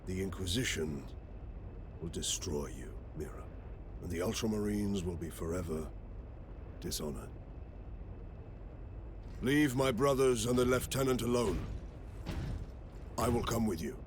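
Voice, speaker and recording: An actor playing a stoic, defiant veteran space marine captain in a video game, solemn and commanding. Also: male voice, fairly low pitch, lively intonation, a little slow, long pauses, background noise. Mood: resolute. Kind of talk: video game cutscene acting.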